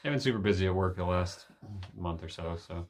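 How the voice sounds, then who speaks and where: A man co-hosting a casual couple chat, low-key and sparing with words, mostly giving short replies. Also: a little fast. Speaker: co-host, husband.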